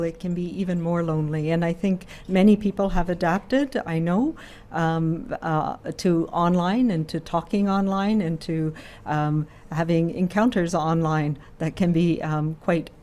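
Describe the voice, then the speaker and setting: A woman health official speaks in a mellow, fairly low voice. She chooses her words carefully and pauses often with soft fillers. Her tone stays calm and gentle throughout the clip. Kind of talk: press briefing remarks.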